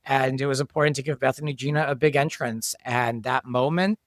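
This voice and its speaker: Man, creator-writer, even middle voice, thoughtful explainer, dry close mic. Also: medium pitch, brisk, no echo. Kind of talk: casual chat.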